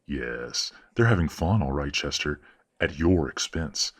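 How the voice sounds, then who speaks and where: Man playing a very deep, husky, condescending werewolf father. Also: lively intonation, full character voice. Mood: smug, needling. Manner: measured, patronizing, coldly genteel. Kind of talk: audio roleplay drama acting.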